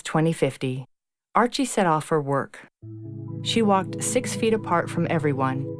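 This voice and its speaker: Woman acting a low-voiced, smug podcast host. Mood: narrating. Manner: polished, insinuating presenter delivery. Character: smug fifty-year-old female propaganda true-crime podcast host. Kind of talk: scripted audio fiction narration as podcast. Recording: loud guitar music behind voice. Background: guitar music.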